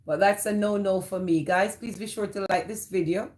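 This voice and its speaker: A woman's voice: mid-range voice, fairly even intonation, moderate pace, a little mellow. A woman with a Jamaican accent hosting a casual livestream, greeting viewers by name and answering their comments. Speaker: livestream host. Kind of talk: casual live chat reading viewer comments.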